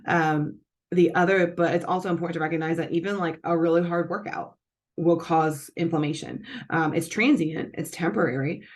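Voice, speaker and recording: Low-voiced woman, scratchy from allergies. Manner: chatty, with many fillers and self-corrections. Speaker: nutrition channel host. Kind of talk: casual explanatory talk to camera.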